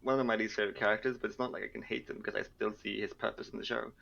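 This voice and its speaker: Dry, low-voiced man with creaky fry. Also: flat delivery, racing, a bit bright, dull audio. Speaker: game development team member on a livestream. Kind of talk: casual joking chat. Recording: faint background noise.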